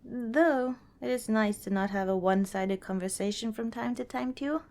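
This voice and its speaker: A woman playing a friendly, protective young wanderer in an ASMR roleplay, chatting casually and gently with hesitant pauses and small chuckles. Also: on the high side, lightly acted. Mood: fond, teasing. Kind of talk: ASMR roleplay, casual one-sided dialogue.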